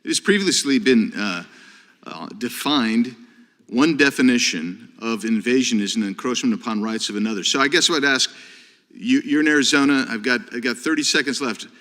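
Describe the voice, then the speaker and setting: A man, a congressman at a hearing, asking a deliberate, legalistic question of a witness. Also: mid-range voice, fairly bright tone. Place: large hearing room. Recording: long hall reverb.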